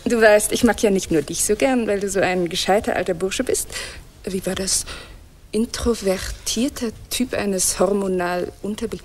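A woman plays a Greek goddess in an ironic radio comedy, her voice of middling pitch. She is brainy, curious and a little vain, and she talks in a breezy, needling, playful manner. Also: normal speed, a little hiss or hum, lightly acted. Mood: gossipy, coy. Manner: playful, colloquial, teasing. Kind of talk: ironic radio play dialogue.

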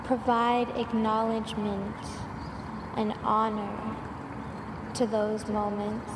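A woman guiding a sleep meditation with affirmations, speaking in a soothing, measured way with long pauses, in a noisy, reverberant recording. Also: on the high side, completely flat delivery. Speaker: meditation guide.

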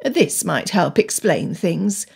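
A woman's voice: lowish voice, big pitch swings, slow pace, gravelly, darkish tone, breathy edge, heavily acted. A woman voicing a shrewd, composed governess who speaks in a formal, firm and poised way in a storybook reading. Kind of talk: dramatised audiobook dialogue.

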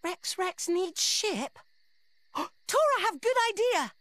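A woman's voice: very high voice, big pitch swings, slow, fairly bright tone, no echo, heavily acted. A woman voicing a small, eager male creature sidekick in a video game, childlike and speaking in simple broken grammar. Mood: excited, helpful. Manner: simple broken grammar, refers to self by name. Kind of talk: cartoonish video game acting.

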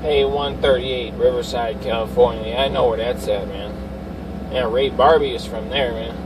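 A man's voice: mid-range voice, fairly even intonation, normal speed, far from the mic. Middle-aged male CB operator, slangy repeated call signs, off-mic inside a very noisy vehicle. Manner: repetitive, slangy on-air patter. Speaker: CB radio operator. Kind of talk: casual CB radio chatter with call signs. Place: inside a parked or running Suburban. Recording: loud vehicle noise, off-mic.

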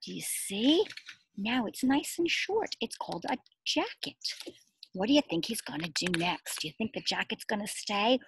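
A middle-aged woman, a preschool teacher, reads a story aloud expressively, pausing to explain simply and ask small children questions. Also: a little high, gravelly, a little hiss or hum, low-quality recording. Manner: expressive, addressing small children with simple words and emphatic repetition. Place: outdoors in a garden.

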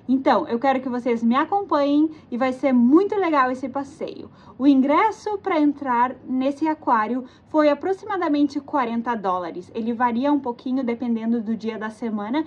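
A woman's voice: high-pitched, slightly rough. A woman travel vlogger chatting casually and enthusiastically, often correcting herself as she talks. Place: tourist aquarium and museum. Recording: slightly dull lo-fi audio with faint background noise.

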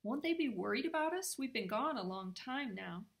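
A woman's voice: fairly high pitch, animated, normal speed, vocal fry. A woman voicing an earnest, curious young boy turned bird in a storybook. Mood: worried.

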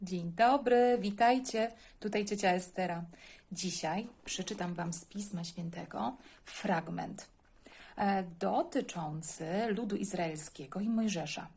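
A woman's voice: mid-range voice, a little slow, gravelly, a little mellow, breathy edge. A woman introducing and reading a Bible passage aloud for children.

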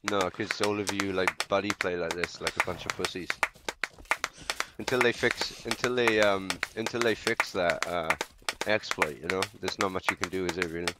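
A man's voice: low-pitched, moderate pace. A man arguing in online game voice chat, mocking, salty and swearing freely. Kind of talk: heated banter in game voice chat. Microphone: gaming voice chat mic. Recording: very noisy game voice chat, clicking.

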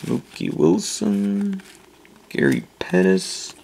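A man hosting a casual video, slowly reading off names as he opens packs at a table indoors. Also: huge pitch swings, gravelly, darkish tone, slight background noise, rough recording. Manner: slow, low-key listing of names. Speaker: channel host opening card packs. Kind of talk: casual card-opening commentary.

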